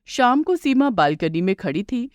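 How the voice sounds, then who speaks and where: A woman's voice: a little high, big pitch swings, moderate pace, no room sound. A woman narrating a scripted moral story as a storyteller.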